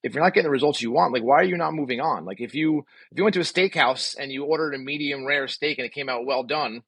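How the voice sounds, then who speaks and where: Fast, emphatic man with a bright, husky voice. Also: mid-range voice, no echo. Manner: opinionated, emphatic, uses rhetorical questions. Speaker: podcast guest, physical therapist. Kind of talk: casual podcast conversation.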